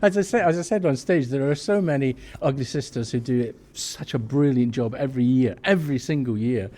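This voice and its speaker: A man's voice: medium pitch, brisk, warm tone. Older-ish theatre guy, medium voice, chatting in an interview, emphatic, repeats himself a bit. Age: middle-aged or older. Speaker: pantomime dame actor and director. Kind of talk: casual interview chat.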